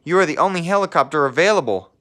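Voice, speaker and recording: A pressing, businesslike dispatcher from a children's storybook, acted out by a male reader. The voice is raised in pitch and brisk in manner. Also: lively intonation, a bit bright. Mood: urgent. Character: urgent male radio dispatcher at a control tower. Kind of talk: children's storybook read-aloud.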